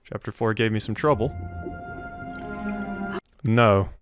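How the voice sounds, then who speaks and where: A man's voice: lowish voice, takes it slowly, over music. A man doing casual gameplay commentary, muttering to himself as he thinks aloud in a self-deprecating way. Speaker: let's play host.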